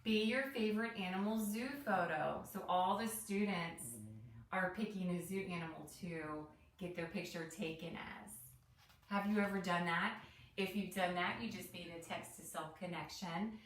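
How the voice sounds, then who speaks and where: A woman's voice: fairly low pitch, fairly even intonation, moderate pace, fairly bright tone, slight background noise. A woman teacher reading a picture book to children, explaining as she goes and putting questions to the listener. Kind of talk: picture-book read-aloud with commentary for children. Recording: roomy echo, distant mic.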